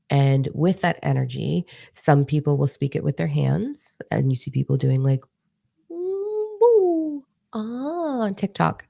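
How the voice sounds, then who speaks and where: A woman's voice: deep voice, vocal fry, dark tone, no echo, studio quality. A woman talking casually about channeling, rambling with many ums and restarts; her first language is Italian. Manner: rambling, chatty, frequent ums and self-corrections. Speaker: spiritual channeler. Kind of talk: casual monologue to camera.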